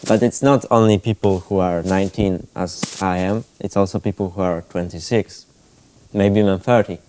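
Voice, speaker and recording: A nineteen-year-old man from Poland speaks with a very low, mellow voice. He answers panel questions in a halting way, often filling the gaps with "uh". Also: fairly even intonation. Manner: halting, hedging with fillers. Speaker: student panelist.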